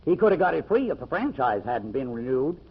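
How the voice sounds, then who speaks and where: An actor playing a shrewd, talkative country store owner, folksy and self-satisfied, in an old-time radio mystery. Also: male voice, medium pitch, very animated, a little fast, slightly rough. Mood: boastful, gossipy. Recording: muffled, dull old radio recording.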